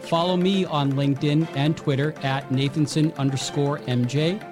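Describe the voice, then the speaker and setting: Polished male podcast host, fairly high. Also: steady tone, normal speed, over music. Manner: polished, enthusiastic, formal. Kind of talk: interview hosting and sponsor read.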